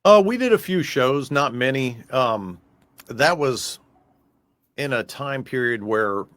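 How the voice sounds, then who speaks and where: Interview guest: American male singer, chatty and meandering, mid-range pitch, close dry sound. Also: animated, slow pace, a bit bright, dry recording. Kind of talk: casual music interview chat.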